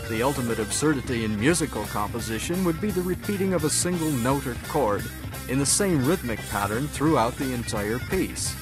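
A man's voice: mid-range voice, lively intonation, a little fast. A man reading scripted mock-scholarly radio commentary in a formal, pompous manner. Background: guitar music.

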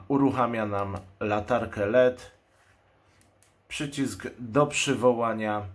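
A man giving an unscripted product presentation in speech, explaining things in a matter-of-fact way. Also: on the low side. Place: indoors at a table.

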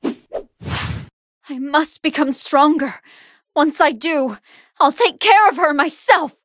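A woman's voice: high voice, slow, a bit bright, no room sound, studio quality. A woman playing a good-hearted female android fighter in an anime fighting game, earnest and polite, with emphatic battle lines. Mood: determined.

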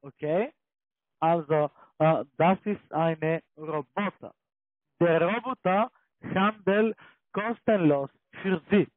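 High-pitched man with foreign accent, phone pitch. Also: takes it slowly, no echo. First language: not German. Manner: repetitive, checking in with "okay" and "ja". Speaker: call-centre sales agent. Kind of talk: scripted phone sales pitch. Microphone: telephone. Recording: muffled low-fidelity phone call.

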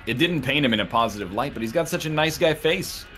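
A man's voice: medium pitch, lively intonation, quick pace, fairly bright tone. A man chatting casually with friends while playing a video game. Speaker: player in a group of gamers. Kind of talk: casual gaming banter.

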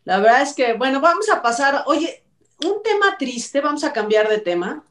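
A woman's voice: high-pitched, big pitch swings, moderate pace, a bit bright. A middle-aged Mexican woman hosting a conversational entertainment-news talk show over a video call. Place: indoor room, on a video call. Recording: roomy, echoey video-call sound.

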